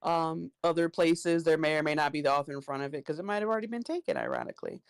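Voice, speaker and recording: A woman with a crisp, clean voice that often drops into vocal fry. She talks casually as a guest author, recorded dry. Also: deep voice, big pitch swings, fast talker, no room sound. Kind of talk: casual chat.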